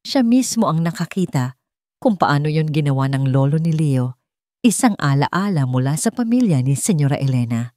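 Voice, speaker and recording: Low-voiced Filipino woman narrating, close and dry. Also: expressive, normal speed, mellow, no room sound. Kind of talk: dramatic scripted story narration.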